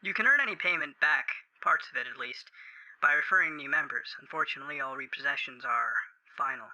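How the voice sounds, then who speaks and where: A man acts out a sinister corporate operator on the phone, with a very sharp, bright, radio-like voice pitched a little high. He recites customer-service patter with cold, formal courtesy, like something not quite human in a creepypasta drama. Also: fairly quick, low-quality recording. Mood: coldly official.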